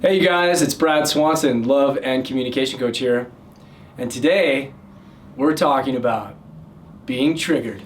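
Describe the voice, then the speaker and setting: A man working as a love and communication coach, giving loud, energetic advice straight to camera, with plenty of fillers and restarts. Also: medium pitch, measured pace, distant mic, slight background noise. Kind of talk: direct-to-camera advice talk.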